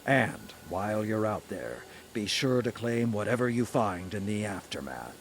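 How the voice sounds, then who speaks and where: Casting: a steady commander, lowish voice, faint ambient hiss. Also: male voice, unhurried. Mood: commanding.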